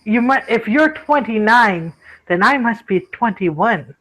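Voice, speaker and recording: Woman, 30s, high-pitched, casual group chat, self-mocking. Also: lively intonation, unhurried, a bit bright, poor audio. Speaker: livestream chat participant.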